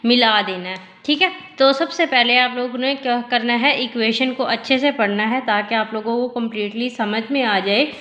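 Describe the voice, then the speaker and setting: A woman teacher, slightly hoarse from a sore throat, explains math step by step and asks questions she answers herself. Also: fairly high pitch, bright, forceful tone, muffled recording. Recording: echoey room.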